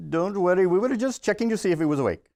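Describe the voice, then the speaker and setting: Male doctor, brisk and reassuring, quick delivery, animated range, comedy table read, male actor. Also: high-pitched, dry recording, clean studio sound.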